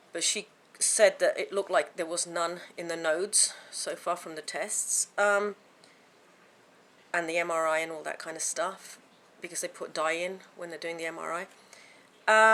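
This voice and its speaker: A woman vlogging to camera from home, with a croaky voice from a sore throat, chatting in a rambling way with many fillers and restarts. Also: fairly low pitch, a bit bright. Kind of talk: casual chat to camera.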